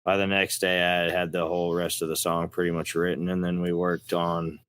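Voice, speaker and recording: A man's voice: deep voice, flat delivery, normal speed, a bit bright, smooth, no echo. A man with a Texas accent chatting casually in an interview as a country rock singer, folksy, plain-spoken and a little profane.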